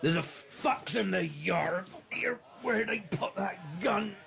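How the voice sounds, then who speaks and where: An actor playing a gruff, rustic farmer with an English accent, grumbling in a radio drama. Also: male voice, sits high, animated, slow, noisy background, exaggerated voice. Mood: startled, grumbling.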